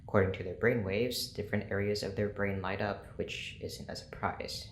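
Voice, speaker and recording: A man's voice: on the low side, fairly even intonation, normal speed, natural voice. A man playing a stern, weary scientist in an audio drama, speaking in a formal, clipped, exasperated way. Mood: clinical, controlled. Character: stern, weary male scientist running an experiment. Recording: echoey room with faint noise.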